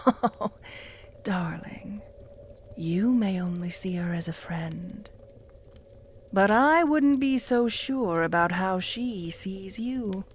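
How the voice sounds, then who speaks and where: Possessive vampire mistress, voiced by a woman, mocking and cruel, unhurried delivery, mid-pitched, breathy whispers. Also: a little hiss or hum, close to an everyday voice. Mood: jealous, possessive. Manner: slow, purring, often dropping to a whisper; taunting, with soft mocking laughs. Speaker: voice actor in audio roleplay. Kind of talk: audio roleplay addressed to the listener.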